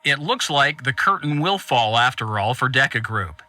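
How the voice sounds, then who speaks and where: Very bright-voiced, urgent news journalist. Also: male voice, mid-range voice, expressive, moderate pace. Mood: serious, urgent. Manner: polished, urgent reporting. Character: brisk, serious male news journalist reporting a scandal. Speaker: voice actor in an audio drama. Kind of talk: broadcast news report in an audio drama.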